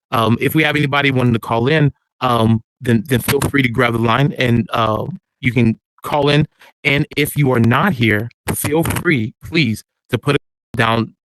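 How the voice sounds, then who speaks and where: A man's voice: medium pitch, poor audio. A man hosting a casual podcast, rambling with many fillers. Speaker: podcast host.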